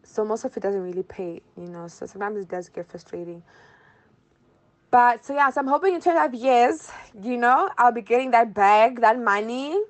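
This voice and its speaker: A woman's voice: a little high, lively intonation, normal speed, poor audio. A woman in her early twenties with a Zimbabwean accent, chatting informally as an interview guest and often repeating phrases for emphasis. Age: early 20s. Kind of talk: casual conversational interview answers.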